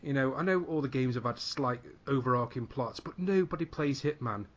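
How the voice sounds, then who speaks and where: A man with an average-pitched voice rants casually as a podcast panellist, exasperated and sweary, circling back over his words. The audio is a little dull and lo-fi. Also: fairly quick. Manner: sweary, exasperated, repeats himself. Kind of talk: casual opinionated rant.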